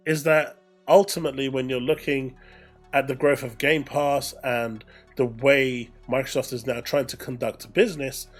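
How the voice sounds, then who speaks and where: A man's voice: mid-range voice, fairly even intonation, moderate pace. Man giving gaming news commentary over music. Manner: explanatory, repeats phrases for emphasis. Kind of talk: casual commentary, reading an article aloud with asides.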